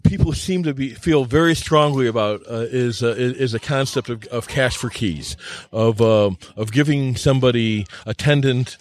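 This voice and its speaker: A man hosts a loose, casual conversation at a mid-range pitch. He is halting and stammers, often doubling up words before getting to his point. The talk sounds unscripted, recorded outdoors. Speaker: show host. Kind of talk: casual round-table chat. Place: outdoors by a bonfire.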